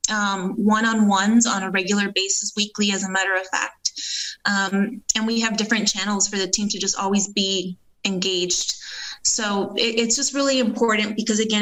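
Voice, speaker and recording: A woman guest on a podcast, a business owner, answers questions in an earnest, steady voice. She pauses with frequent ums but keeps an even, mid-pitched tone. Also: rough recording. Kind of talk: interview answers.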